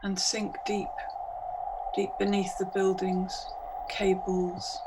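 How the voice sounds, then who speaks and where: A woman guiding a meditation in short, hypnotic phrases with long pauses, speaking as a narrator to a listener. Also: mid-range voice, flat delivery. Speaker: meditation guide. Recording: clearly audible background noise, ambient soundscape. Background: ambient soundscape.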